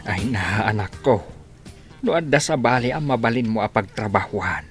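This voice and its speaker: A woman playing a gentle, concerned old father in a scripted audio drama. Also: rumbling low, expressive, normal speed, over music. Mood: worried. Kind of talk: scripted radio-style drama.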